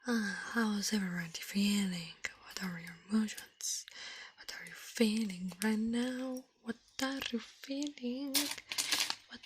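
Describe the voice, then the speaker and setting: Whispering woman, noisy recording. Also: mid-range voice. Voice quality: breathy, mostly whispered. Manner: slow-drifting, repeats words and phrases softly. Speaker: ASMR livestream host. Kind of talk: ASMR, rambling livestream chat with viewers.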